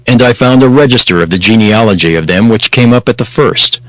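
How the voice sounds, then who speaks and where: Man as a solemn ancient governor, mid-pitched. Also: quick pace, fairly bright tone, no room sound, lightly acted, low-quality recording. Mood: solemn recounting. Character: steadfast male governor of ancient Judah telling his story. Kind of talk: dramatized scripture narration. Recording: music behind the voice.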